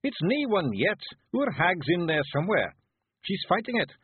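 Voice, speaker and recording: Storybook role: small proud Scottish warrior, high and husky, exclamatory and spoiling for a fight. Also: male voice, very animated, moderate pace, no echo, phone-quality sound, exaggerated voice. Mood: urgent. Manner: exclamatory, combative, broad dialect. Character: tiny fierce Scottish male clan chief, proud and indignant.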